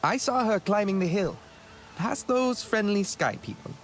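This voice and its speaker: A man's voice: sits high, expressive, normal speed. A man playing a passionate young alien clansman in a video game, earnest and emphatic. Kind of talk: video game dialogue acting.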